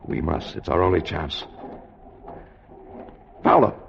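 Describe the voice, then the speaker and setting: Commanding scientist, muffled old radio. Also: male voice, very deep voice, slow pace, gravelly, noisy background, heavily acted. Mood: grave. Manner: urgent, declamatory, authoritative. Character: resolute, commanding male scientist leading an expedition. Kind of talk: 1950s radio drama acting.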